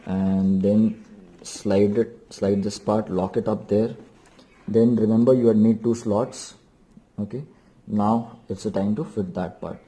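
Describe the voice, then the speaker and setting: A man's voice: on the low side, warm tone. A man giving an unscripted hands-on tutorial, explaining step by step and repeating instructions for emphasis. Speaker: DIY vlogger.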